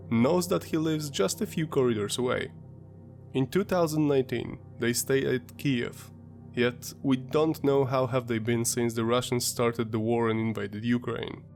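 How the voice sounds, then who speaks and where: Brief: male host, stuffy sinus-congested voice, mid-pitched, measured documentary read, faint music underneath. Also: a little mellow. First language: Polish. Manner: measured, explanatory storytelling. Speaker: history channel host. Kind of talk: scripted documentary narration.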